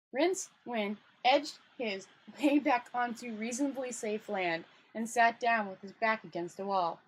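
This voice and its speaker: A woman's voice: fairly high pitch, husky, poor audio. A woman storyteller reading a book aloud informally, stumbling over words and correcting herself, with casual commentary. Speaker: storyteller, channel host.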